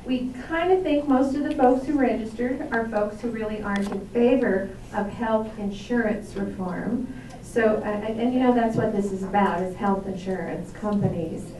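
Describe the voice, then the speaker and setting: A woman's voice: on the high side, normal speed, dark tone, slight background noise. A woman from a senator's staff giving a chatty, upbeat town hall update with many ums. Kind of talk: public speech at a town hall. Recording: echoey hall recorded from far off.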